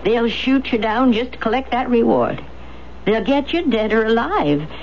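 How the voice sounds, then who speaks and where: A woman's voice: fairly high pitch, lively intonation, normal speed, a little mellow. An actress playing a motherly, homespun old country woman in a radio drama, with a folksy, pleading manner. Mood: worried, pleading. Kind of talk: 1970s radio drama acting. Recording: dull, noisy 1970s radio recording.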